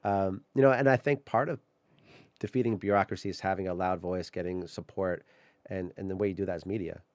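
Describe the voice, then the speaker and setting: Man, very low pitch, brisk pace, podcast host, casual interview conversation. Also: steady tone, dry recording. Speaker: podcast host, political candidate.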